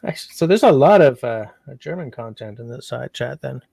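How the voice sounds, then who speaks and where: Male livestream host, gravelly, dry close mic, rambling chat. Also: medium pitch, animated, fairly quick, mellow, no echo. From Canada. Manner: meandering, reads out chat comments.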